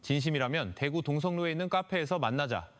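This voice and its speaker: A smooth, calculating recruiter in his thirties from an audio crime story, read by a male narrator. He coaxes with overconfident ease, in a lively, varied voice. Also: a little high, fast talker, close to an everyday voice. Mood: smooth, coaxing. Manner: smooth, confident, coaxing.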